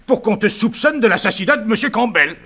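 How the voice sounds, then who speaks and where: An actor playing an excitable, blustering, indignant farmer in a 1960s French radio drama. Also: male voice, high-pitched, fairly quick, heavily acted. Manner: exclamatory, blustering.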